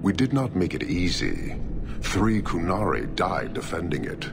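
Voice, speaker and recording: A man playing a stern, imposing giant warlord in a video game, speaking in measured, formal, contemptuous pronouncements. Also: low voice, sing-song, creaky, full character voice. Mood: grim, proud. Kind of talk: video game cutscene dialogue.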